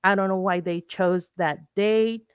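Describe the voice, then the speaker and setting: A woman's voice: medium pitch, steady tone, clear, resonant tone, dry recording. Mexican woman presenting, dry close sound. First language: Spanish. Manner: explanatory, halting, searching for words. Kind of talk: informal educational talk with slides.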